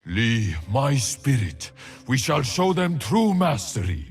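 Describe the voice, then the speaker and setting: An actor playing a solemn, resolute warrior shaman in a video game, with a gravelly voice and weighty, deliberate delivery. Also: mid-range voice, big pitch swings, soft background music, full character voice. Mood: calm, solemn. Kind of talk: video game character acting.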